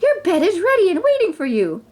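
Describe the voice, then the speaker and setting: A woman voicing an excitable, bossy, scheming middle-aged queen from a fairy tale, exclaiming and fussing as she gives orders. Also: extremely high pitch, fairly quick, voice pushed up, heavily acted. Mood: coaxing. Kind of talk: children's storybook character reading.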